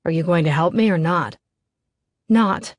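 A woman narrator voicing a hardened, hot-tempered young woman with a sharp, terse manner in an audiobook. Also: fairly low pitch, unhurried, dry recording. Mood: cold defiance.

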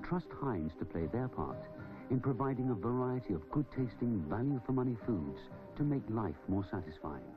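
A man's voice: mid-range voice, very animated, moderate pace. A man presenting a scripted corporate film in the manner of a narrator. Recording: dull old film soundtrack, music.

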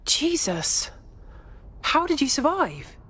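A woman's voice: high-pitched, big pitch swings, takes it slowly, fairly bright tone, full character voice. A woman playing a wary but committed young recruit in a video game. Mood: wary, concerned. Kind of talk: video game character acting.

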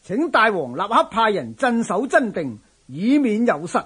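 An earnest general in a radio drama, with a Hong Kong Cantonese accent. His voice is high and swoops widely as he declaims with formal deference. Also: very animated, a little slow, fairly bright tone, husky, exaggerated voice. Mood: earnest, urging. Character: earnest, dutiful general reporting to his lord.

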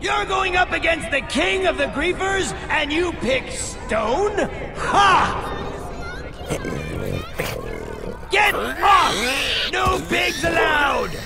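A man's voice: very high voice, lively intonation, slow, exaggerated voice. A man playing a boastful, brash champion of explosives in a video game, with a bombastic, theatrical, taunting manner. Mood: mocking. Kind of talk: animated video game acting. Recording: echoing, loud music and crowd behind.

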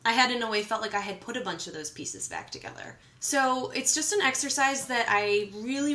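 A woman in her thirties talking casually to camera in a vlog, with an animated, playful and candid manner. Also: mid-range voice. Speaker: vlogger and musician. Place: small home kitchen, indoors.

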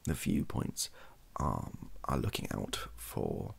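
A British man in his thirties speaking softly in an improvised, rambling monologue, halting often and correcting himself as he goes. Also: rumbling low, expressive, vocal fry, slightly rough. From United Kingdom. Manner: hesitant, halting, self-correcting. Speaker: ASMR video creator. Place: small indoor home room.

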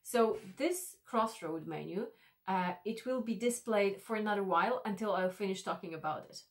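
Measured woman explaining a tool to camera. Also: medium pitch. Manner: careful, measured, frequent "uh" fillers. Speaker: e-learning designer. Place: small decorated studio room, indoors. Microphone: camera framed at desk, mid shot.

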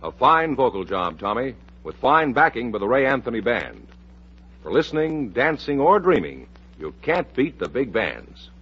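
A man's voice: medium pitch, very animated, normal speed. An American man announcing a scripted mid-century radio music show in a polished, promotional manner. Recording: dull, band-limited vintage radio recording.

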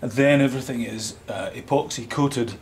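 A man in his fifties with a Scottish accent informally presenting a product as an exhibitor, explaining in a hesitant, unhurried way. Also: mid-range voice. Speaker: loudspeaker company exhibitor. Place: small hotel exhibition room, indoors. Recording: roomy hotel room, light background noise.

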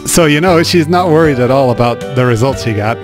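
A man's voice: mid-range voice, animated, fairly quick. A man hosting a let's play, translating and commenting on a game in a casual, wry way. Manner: casual, wry, dry humor. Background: game music.

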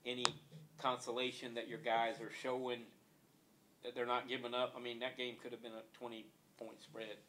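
A man's voice: mid-range voice, little pitch movement, moderate pace, bright, forceful tone, slight background noise. A male sports reporter asking long, rambling questions at a press conference.

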